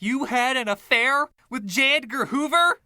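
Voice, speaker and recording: Man as slow-talking, exasperated pianist Andre. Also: extremely high pitch, sharp tone, no echo, studio quality, voice pushed up, heavily acted. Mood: incredulous, weary. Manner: incredulous, questioning. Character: exasperated, doubting male pianist narrator named Andre.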